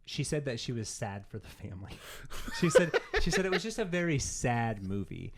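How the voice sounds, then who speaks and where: Guy just chatting on a podcast, mid pitch, rambles and says like a lot. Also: big pitch swings, moderate pace, background noise. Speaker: podcast co-host. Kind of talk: casual chat about games and pop culture.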